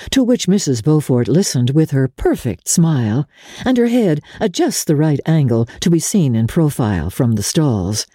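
Husky, low-voiced woman reading classic fiction expressively. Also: dry recording, clean studio sound. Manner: measured, deliberate, expressive phrasing. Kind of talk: dramatic literary audiobook narration.

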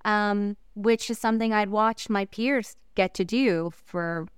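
A woman whose first language is Korean speaks in an exceptionally smooth, clean voice, recorded close and dry. She talks reflectively as an interview guest, pausing on fillers and breaking into soft laughs. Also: medium pitch, no room sound. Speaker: actress interviewee.

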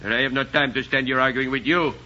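An actor playing a smug, mock-polite, menacing gang leader in a 1940s radio drama. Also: male voice, medium pitch, fairly even intonation, fast talker, lightly acted. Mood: threatening. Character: menacing, mocking male villain, gang leader in wilderness. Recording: dull noisy 1940s radio recording.

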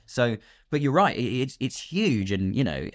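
A man speaking casually as an actor guest in an interview, explaining in an animated way and voicing imagined quotes. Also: medium pitch, moderate pace, no room sound, studio quality. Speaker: guest, radio drama actor.

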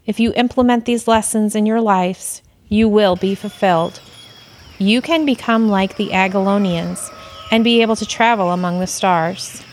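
A woman's voice: medium pitch, fairly even intonation, normal speed, natural voice. Woman as a composed alien officer, faint noise. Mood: solemn. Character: composed female alien officer, dryly superior. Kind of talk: audio play dialogue.

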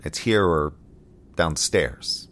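A man's voice: rumbling low, animated, takes it slowly, a bit bright, full character voice. An actor playing a weary, wry, heartsick man in a space colony, in a dry, understated audio drama delivery. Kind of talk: scripted audio drama acting.

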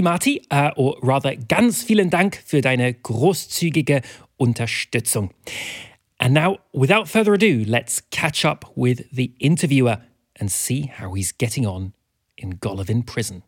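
Lively podcast host with dry sound. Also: medium pitch, no room sound. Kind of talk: friendly scripted announcements.

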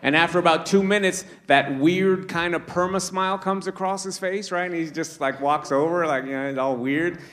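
A man's voice: on the high side, normal speed, hardly any pauses, fairly bright tone. A Trinidad-born man with a Canadian accent doing a stand-up comedy set, chatty and acting out characters, laughing at his own jokes. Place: live comedy show with audience.

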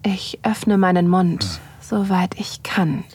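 An actress playing a nervous, curious wife who narrates an intimate erotic audio drama in a soft, often whispering voice. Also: female voice, lowish voice, takes it slowly, slight background noise. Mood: aroused, absorbed.

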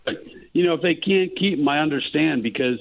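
A male sports columnist calling in, talking basketball in a halting way with lots of fillers. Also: on the high side, creaky. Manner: halting, many fillers and restarts. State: losing his voice. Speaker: columnist guest. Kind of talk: casual sports talk. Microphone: phone line. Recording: tinny phone line.

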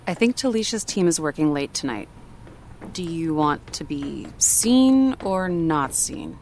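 A practical female office colleague in an audio drama. Her voice sits a little low, and she talks in a dry, skeptical, matter-of-fact way. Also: expressive, measured pace, darkish tone, slight background noise. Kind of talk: naturalistic audio drama acting.

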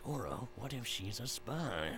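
An actor playing a grumpy, suspicious man in an audio drama, gruff, sardonic and defensive. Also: medium pitch, very animated, a little slow, music in the background. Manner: gruff, sardonic, prone to outbursts.

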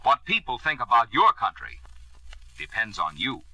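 A male announcer with a very bright, forceful voice and an animated range delivers a formal radio message. He is earnest and emphatic, as in a scripted 1950s public service spot, and the sound is dry. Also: medium pitch, normal speed, no echo, low-quality recording.

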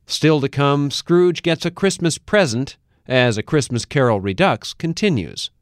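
A man's voice: a little high, animated, unhurried, no echo, clean studio sound. A man hosting a public radio show with scripted presenting. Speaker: radio host. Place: dry studio.

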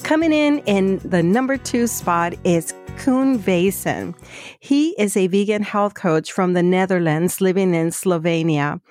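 A woman's voice: medium pitch, a little mellow, dry recording, studio quality. A woman hosting a podcast, reading scripted introductions. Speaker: podcast host.

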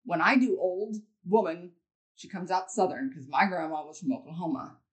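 A woman with a deep voice, talking in a blunt, rambling way as a podcast guest, swearing freely. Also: animated, normal speed. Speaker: guest comedian. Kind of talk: casual podcast chat.

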